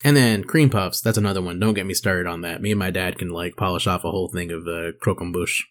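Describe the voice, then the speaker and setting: A man's voice: deep voice, fast talker, fairly bright tone. A man co-hosting a podcast, talking casually in a laid-back, slangy way. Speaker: podcast co-host. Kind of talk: casual banter, with some reading aloud.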